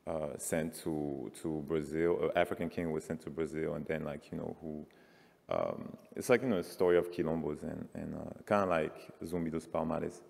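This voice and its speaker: Very low creaky-voiced man, loose and casual. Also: moderate pace, a little mellow. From France. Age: 40s. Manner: casual, many fillers like "like". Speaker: panelist artist. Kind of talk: conference talk. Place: large conference hall. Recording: reverberant hall.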